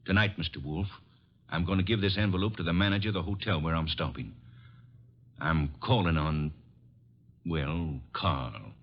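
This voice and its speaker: An actor playing a bitter, vengeful man on the run, halting but insistent, in old radio drama style. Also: low-pitched. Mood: grim, determined. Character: bitter, vengeful drifter wrongly blamed for a killing. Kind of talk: 1950s radio drama acting. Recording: muffled old radio recording.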